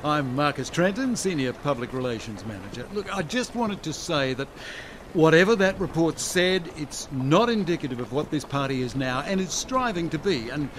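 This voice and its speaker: A man's voice: on the high side, lively intonation, normal speed, slight background noise, close to an everyday voice. An actor playing a flustered, fussy male public relations manager who talks in analogies in a radio comedy. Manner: flustered, fussy, fond of metaphors.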